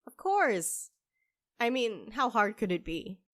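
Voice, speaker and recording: Woman acting an unhurried, earnest young witch. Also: a little high, sing-song, fairly bright tone, no echo, studio quality, full character voice. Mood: upbeat. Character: earnest, hopeful young female witch running a cafe. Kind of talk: audio drama acting.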